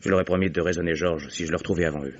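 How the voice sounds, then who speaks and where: A deep-voiced, husky man speaks as a level-headed, insistent friend in a vintage radio mystery. He is firm and matter-of-fact, on a dull old recording. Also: steady tone, racing. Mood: firm, calm. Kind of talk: French radio mystery drama acting.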